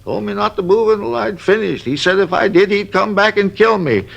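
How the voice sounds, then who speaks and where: A man's voice: high voice, a little fast, vocal fry, a bit bright, dull audio, exaggerated voice. An actor playing a fussy, long-winded male robbery witness who grumbles and wanders off topic, in 1950s radio drama style. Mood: recounting. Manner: rambling, grumbling, easily sidetracked.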